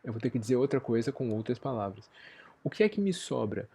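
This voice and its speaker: Mellow, husky male lecturer. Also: medium pitch, very animated, fairly quick. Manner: thoughtful, rephrasing as he goes. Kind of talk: university lecture.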